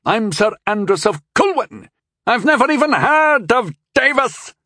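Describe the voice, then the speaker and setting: A man voicing a frightened old knight who squeals and protests in a high, shrill voice. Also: very animated, a little slow, bright, forceful tone, no echo, studio quality, exaggerated voice. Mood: panicked protest.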